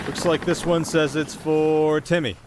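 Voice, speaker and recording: A man's voice: fairly high pitch, little pitch movement, a little slow, background noise, lightly acted. Man playing sheepish, cocky young winter spirit. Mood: eager, casual. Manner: sheepish, casual, defensive, earnest. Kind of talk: ASMR roleplay voice acting.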